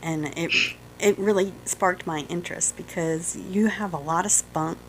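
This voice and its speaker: A middle-aged woman with a Southern US accent hosts a radio interview from the studio. She talks in a fairly low voice, gushing and encouraging as she chats with her guest. Her tone stays upbeat and easygoing. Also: steady tone, moderate pace, a little hiss or hum, rough recording. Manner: gushing, encouraging, chatty with laughs. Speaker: radio host.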